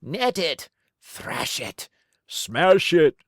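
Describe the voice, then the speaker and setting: A woman's voice: low voice, sing-song, drawn-out words, dry recording, studio quality, heavily acted. A woman voicing angry townspeople in a children's storybook, barking short commands. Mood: bloodthirsty. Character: angry mob of townspeople, shouting orders.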